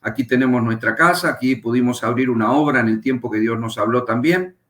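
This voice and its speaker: A middle-aged Argentine man, a pastor and Bible teacher, calmly telling his life story as a guest in an interview. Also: mid-range voice. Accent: Argentine Spanish. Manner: unhurried, reflective, pauses with fillers. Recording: echoey room.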